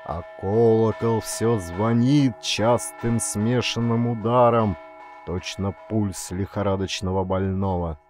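Slow, low-voiced male audiobook narrator. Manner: suspenseful, expressive storytelling. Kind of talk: dramatic literary narration of a story.

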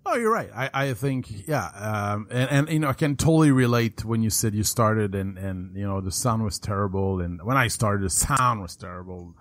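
A man with a French Canadian accent hosts a casual podcast interview. He is friendly, uses many fillers, and his pitch swoops up and down. The recording is dry and close. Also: animated, moderate pace, few pauses, a bit bright, no room sound. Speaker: podcast host.

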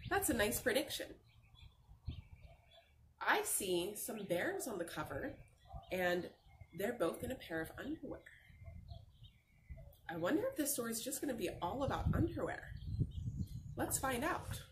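A young adult woman reading a picture book to children in an expressive, encouraging, sing-song way, pausing to ask questions. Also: on the high side. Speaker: teacher reading aloud to children. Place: outdoors on a garden patio. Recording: noisy outdoor recording with birdsong.

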